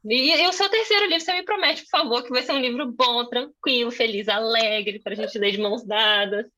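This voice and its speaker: A woman's voice: on the high side, brisk, sharp tone. A young woman from Brazil moderating a conversation on a video call, thinking aloud with many fillers and restarts. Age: young adult.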